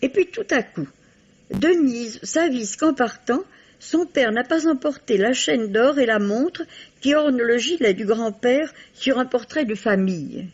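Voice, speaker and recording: A woman's voice: a little high, lively intonation, measured pace, husky. A woman reading a scripted book review for 1950s French radio, with a literary storytelling delivery. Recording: dull vintage radio recording.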